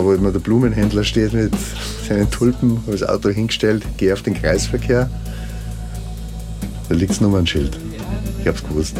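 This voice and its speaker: A man chatting and telling stories in a casual way. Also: low voice. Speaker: band musician. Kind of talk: casual conversation and storytelling.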